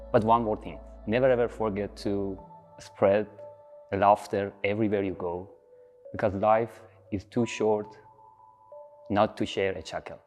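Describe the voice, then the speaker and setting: A man's voice: fairly low pitch, a little mellow, big-room sound. A man giving upbeat, emphatic wishes to graduates in a recorded message.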